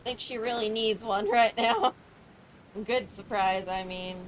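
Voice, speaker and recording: A woman's voice: mid-range voice, measured pace, a little hiss or hum, rough recording. A woman streaming a game, reacting live in an emotive, gushing way. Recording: game music behind the voice.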